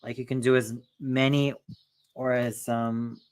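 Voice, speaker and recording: A man's voice: mid-range voice, little pitch movement, a little slow, darkish tone, clean tone. A young man chats casually as the host of a stream. He rambles and often circles back with fillers before landing on his point. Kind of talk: casual chat.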